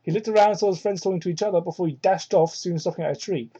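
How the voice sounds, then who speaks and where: A woman's voice: racing, very noisy. A woman reading fan fiction aloud as an amateur, breaking off for irreverent, sweary asides, on a poor microphone. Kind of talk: dramatic reading with mocking asides. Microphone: cheap microphone. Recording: muffled low-quality microphone.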